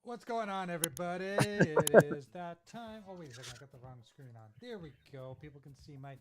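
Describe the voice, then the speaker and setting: A man's voice: on the high side, brisk. A man hosting a casual live stream, joking and bantering with the chat while he fiddles with his mic. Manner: talks over beer, relaxed and cussing. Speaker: stream host.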